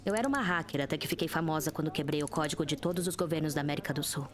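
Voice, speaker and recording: An actress playing a cool, blunt young hacker in a Brazilian audio drama, matter-of-fact and assertive. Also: female voice, low voice, rapid-fire, close to an everyday voice. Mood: composed narrating. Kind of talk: narration and audio drama acting.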